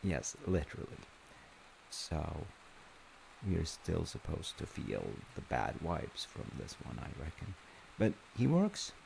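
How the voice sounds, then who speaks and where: A man playing a loving, playful dad in an audio roleplay, telling a story in an unhurried, wry, rambling way. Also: deep voice, gravelly, darkish tone, slight background noise, full character voice, rough recording. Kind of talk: audio roleplay, informal bedtime-style storytelling.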